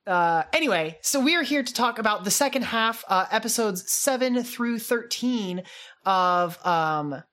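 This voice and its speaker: A woman talks as a podcast co-host, chatty and excitable. Her pitch is average for a woman, and her style is informal, like casual conversation between co-hosts. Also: unhurried. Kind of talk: casual podcast chat about a radio drama.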